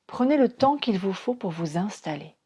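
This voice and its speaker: A woman guides a relaxation meditation as a yoga nidra teacher, calm and soothing, speaking in short phrases with pauses. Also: mid-range voice, husky.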